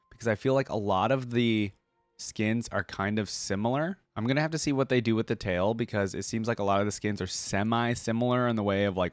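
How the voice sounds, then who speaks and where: A man's voice: on the low side, no room sound. A man giving casual, unscripted reaction commentary as a video host, talking in an informal, slangy, enthusiastic way.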